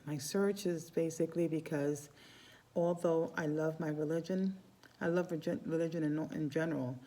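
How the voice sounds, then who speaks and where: Rambling woman with a mellow low voice. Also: steady tone, moderate pace. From Cuba. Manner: warm, rambling, restarts phrases often. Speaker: Santeria priestess. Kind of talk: casual spiritual vlog talk.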